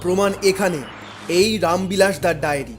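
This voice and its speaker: Guy doing honest young cartoon hero, high pitched, earnest, resolute. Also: moderate pace, a little hiss or hum, close to an everyday voice. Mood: firm. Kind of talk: cartoon dialogue.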